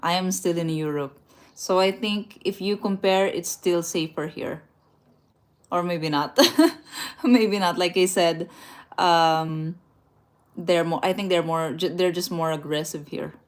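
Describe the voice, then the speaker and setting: Woman, casual livestream chat, laughs a lot, a little low pitched. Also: fairly even intonation, measured pace. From Philippines. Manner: chatty, laughs often, small restarts and slips. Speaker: travel livestream host. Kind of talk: casual live chat, reading viewer comments aloud. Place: indoors, a rented room at night.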